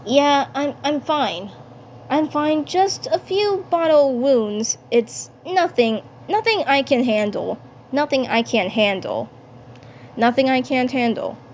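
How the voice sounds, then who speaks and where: Casting: proud, gruff cartoon alien schoolboy played by a woman, halting and awkward, fairly high voice, amateur recording with some noise. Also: animated. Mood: nonchalant. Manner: gruff, halting, feigned nonchalance. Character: proud, awkward male alien schoolboy hiding his pain.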